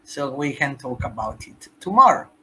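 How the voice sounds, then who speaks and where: A woman with an Italian accent talks as a podcast guest, her voice bright and pushing forward with force. Her English carries a native Italian speaker's phrasing, and she lands her points with emphasis. Faint noise sits under the voice. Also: rumbling low, sing-song, normal speed, low-quality recording. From Italy. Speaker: guest, human rights activist. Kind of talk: podcast interview.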